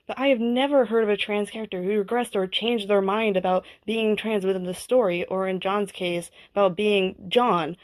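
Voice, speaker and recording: A woman's voice: mid-range voice, a little mellow, low-quality recording. Earnest woman's voice, mid-range, personal message. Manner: earnest, heartfelt. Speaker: listener sending in a voice message. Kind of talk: personal, prepared spoken message.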